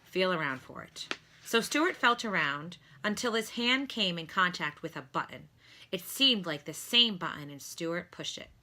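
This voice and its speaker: Bright-voiced woman reading a storybook. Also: lowish voice, expressive, moderate pace. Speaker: teacher reading aloud to pupils. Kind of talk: expressive storybook narration.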